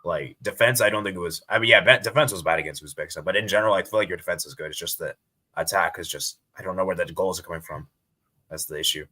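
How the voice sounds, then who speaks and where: A man's voice: sits low, fast talker, pushed and bright. A man from Bangladesh giving hedged but firm opinions in a casual football discussion. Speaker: football podcast guest.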